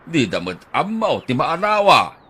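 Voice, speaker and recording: A man acting in an Ilocano radio drama, his voice lively and wide-ranging. He speaks slowly, in a warm fatherly tone, affectionate with each line. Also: on the high side, husky. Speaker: actor in audio drama.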